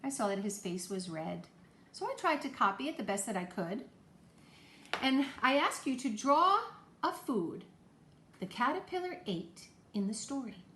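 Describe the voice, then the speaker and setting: A woman's voice: on the high side, very animated, unhurried, a bit bright. A woman teacher talking to young children in a homemade lesson video, encouraging them with simple questions and explanations. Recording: echoey room, faint background noise.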